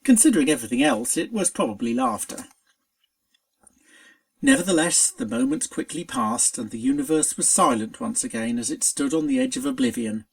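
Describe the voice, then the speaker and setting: Expressive, animated story reader. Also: medium pitch, moderate pace, gravelly. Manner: expressive, dramatic storytelling delivery. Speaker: fan fiction reader. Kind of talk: scripted story narration.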